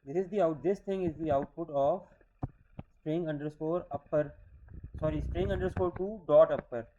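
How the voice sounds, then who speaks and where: A man's voice: a little high, normal speed, mellow, highs cut off, a little hiss or hum. A man in his thirties teaching a programming class, explaining step by step and often checking in with "okay?". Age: 30s. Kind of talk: screen-recorded coding lecture.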